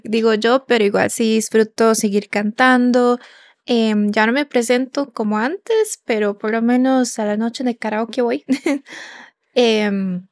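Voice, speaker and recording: A young woman raised in Canada, chatting casually on a podcast as co-host, with lots of fillers and quick laughs. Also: fairly high pitch, no room sound, studio quality.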